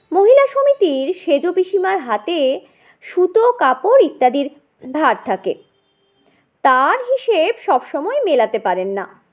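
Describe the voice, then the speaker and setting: A woman narrating a scripted audio story in an expressive storytelling style. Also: high-pitched.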